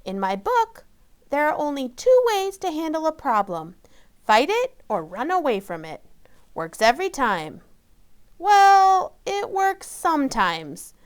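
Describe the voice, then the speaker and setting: A woman voicing a bossy, excitable little girl in a children's storybook, high, loud and indignant. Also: measured pace. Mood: boastful. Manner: dramatic, indignant.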